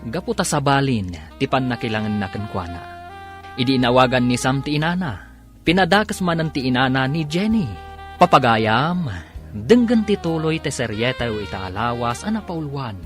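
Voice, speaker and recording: A man's voice: medium pitch, expressive, moderate pace, soft background music. A man with a Filipino accent narrating a radio drama in a scripted, dramatic style as announcer.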